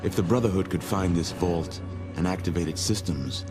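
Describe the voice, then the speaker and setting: Male actor as solemn wasteland chronicler, deep voice, measured game narration, loud music behind. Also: natural voice. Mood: grave, measured. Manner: grave, measured, storytelling. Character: solemn, grave male chronicler of a post-apocalyptic world. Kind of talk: scripted game cutscene narration.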